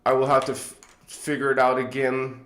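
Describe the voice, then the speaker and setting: A man's voice: mid-range voice, completely flat delivery, normal speed, fairly bright tone, echoey room. A man hosting a casual livestream, chatty and rambling, with a stuffed-up nose, talking into a phone on a tripod. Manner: rambling, chatty, chuckles often. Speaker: livestream host.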